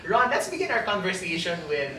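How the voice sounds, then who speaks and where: A woman's voice: lowish voice, big pitch swings, fairly quick. A woman, co-hosting a campus talk show in an upbeat, chatty way. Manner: upbeat, chatty, laughs often. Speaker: co-host. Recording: roomy, distant mic, faint noise.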